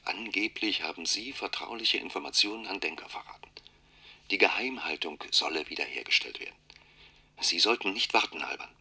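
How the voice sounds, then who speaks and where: An actor playing a secretive informant in a German radio drama, terse and conspiratorial, with a husky, forceful voice. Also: male voice, sits low, expressive, a little fast, heavily acted. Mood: urgent, secretive. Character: secretive male informant passing on grave warnings.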